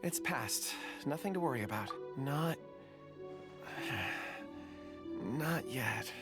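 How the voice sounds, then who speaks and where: Very slow, hesitant mild young man. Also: mid-range voice, expressive, over music. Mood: hesitant, uneasy. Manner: hesitant, sighing, halting. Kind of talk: video game character acting.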